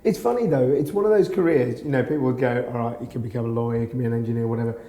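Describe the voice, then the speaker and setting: A man's voice: mid-range voice, rapid-fire, dark tone, roomy sound. A man interviewing a guest in a chatty, jokey way. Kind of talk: interview questions.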